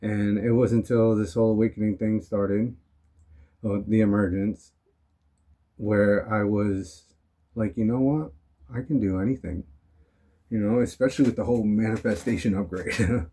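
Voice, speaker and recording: A young man talking casually in a rambling motivational vlog, his voice still hoarse from being sick. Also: lowish voice. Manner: relaxed, rambling, frequent "you know" and "um". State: hoarse from recent illness. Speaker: vlogger.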